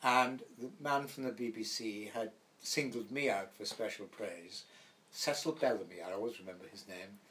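Older Welsh actor telling stories. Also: male voice, lowish voice, moderate pace, a bit bright. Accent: light Welsh. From Wales. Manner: rambling anecdotal storyteller, acts out quoted voices. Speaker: actor guest. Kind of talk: reminiscing interview.

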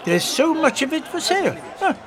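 Brief: a crotchety old gentleman traveller, high and very animated, bossy and inquisitive. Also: fairly quick, a faint crowd. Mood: curious. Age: older. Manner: testy, lively, old-fashioned, bossy. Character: fussy elderly male time traveller, curious. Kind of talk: audio drama acting.

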